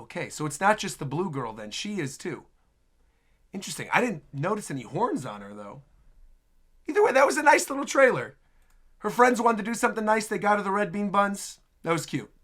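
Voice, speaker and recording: Woman, casual reaction host, animated commentary, very lively intonation, bright tone. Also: lowish voice, a little fast. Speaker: host reacting to game trailers.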